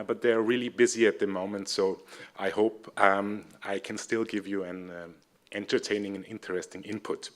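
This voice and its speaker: Man, Austrian accent, fairly low voice, halting speech full of ums, seminar talk. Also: fairly bright tone. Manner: hesitant, many fillers, friendly. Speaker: seminar speaker, civic educator. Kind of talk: conference presentation.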